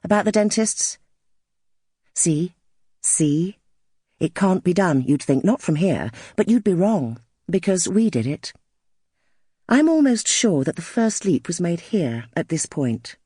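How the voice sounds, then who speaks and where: A woman narrating first-person literary fiction as an audiobook, in a wry, expressive storytelling manner. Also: fairly low pitch, unhurried, husky, dry recording, clean studio sound.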